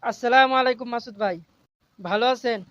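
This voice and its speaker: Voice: medium pitch, fairly quick, a bit bright, dry recording, natural voice. A performer voicing a friendly, curious male neighbour in cartoon dialogue. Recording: dull, lo-fi sound.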